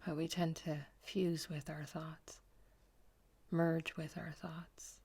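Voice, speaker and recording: A woman leading a guided meditation, speaking softly in a breathy, half-whispered voice with slow, gentle repetition. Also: sits low, flat delivery.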